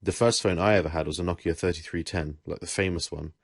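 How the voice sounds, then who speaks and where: Low-voiced young Englishman, relaxed chat. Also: quick pace, gravelly, no echo, clean studio sound. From England. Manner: relaxed, rambling, with self-corrections. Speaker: podcast host. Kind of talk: casual chat.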